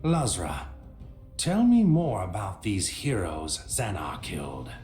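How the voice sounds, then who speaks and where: Deep-voiced scheming fantasy hero. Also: male voice, sing-song, slow, darkish tone, full character voice. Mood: cool, calculating. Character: cool, scheming male hero narrating his tale. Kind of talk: video game dialogue and narration. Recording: clear background music.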